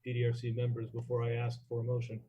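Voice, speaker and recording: A man chairing a formal meeting in procedural language, phrasing things in a halting way. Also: mid-range voice, completely flat delivery, mellow, breathy edge. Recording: dull lo-fi online meeting audio.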